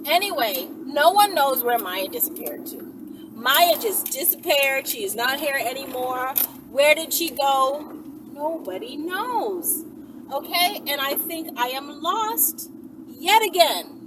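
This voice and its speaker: A woman telling stories to her viewers in a casual, playful, slangy and animated way while riding in a vehicle. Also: high-pitched, slow. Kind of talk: casual chatty storytelling. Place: moving vehicle while driving. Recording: loud vehicle noise, distant mic. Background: loud road and vehicle noise.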